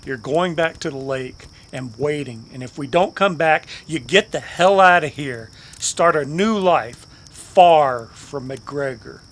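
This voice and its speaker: An actor playing a vengeful, bossy cowboy with a cold, venomous, commanding manner in a Western radio drama. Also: male voice, on the high side, expressive, a little slow, few pauses, a bit bright. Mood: angry, commanding. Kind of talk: old-time radio Western acting.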